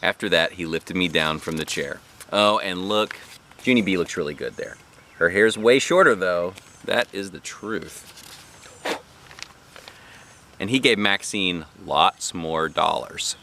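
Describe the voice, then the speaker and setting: A man reading a children's book aloud as a dad, with expressive, animated narration and put-on character voices. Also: deep voice, measured pace, a little hiss or hum.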